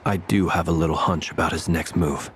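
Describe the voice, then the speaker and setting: A man playing a calm, shrewd adventurer who leads a team in a video game, measured and decisive. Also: sits low, a little hiss or hum. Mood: thoughtful.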